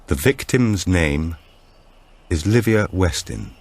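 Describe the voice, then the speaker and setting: A man's voice: deep voice, animated, slow pace, darkish tone, full character voice. A man voicing a guarded policewoman who breaks bad news in a careful, formal, restrained way in an audiobook. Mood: guarded, mechanical.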